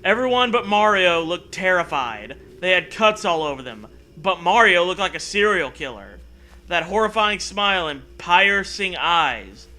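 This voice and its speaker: A high-voiced man with a very sharp, forceful tone reads a story aloud for comedy. He breaks off often to riff on it, sarcastic, profane and exclamatory. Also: sing-song, unhurried, slight background noise. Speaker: comedy show host reading a story aloud. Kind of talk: mocking read-aloud with casual riffing.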